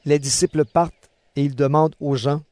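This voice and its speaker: A man giving scripted narration for an audio Bible drama in a measured storytelling manner. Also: a little high, fairly even intonation, mellow, dry recording. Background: background music.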